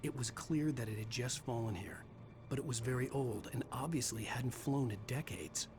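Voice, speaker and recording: An actor playing a brooding, haunted writer who narrates his ordeal gravely in a thriller video game. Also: mid-range voice, moderate pace, over music, natural voice. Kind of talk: scripted first-person thriller narration.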